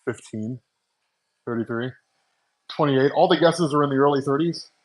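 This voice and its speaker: A man's voice: medium pitch, moderate pace, poor audio. A man in his forties chatting casually with viewers on a live stream, talking loosely with lots of fillers and laughs, into wireless earbuds. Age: 40s. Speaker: comics channel host. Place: at home making coffee.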